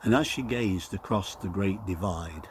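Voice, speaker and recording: A man with a lowish voice recites poetry. His phrasing is measured and very slow, and clear background noise sits behind him on the recording. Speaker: poetry reader.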